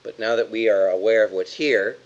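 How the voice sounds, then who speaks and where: A man's voice: on the low side, steady tone, fairly quick. Slightly dull-sounding man at a game table. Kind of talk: casual game-table talk, reasoning aloud.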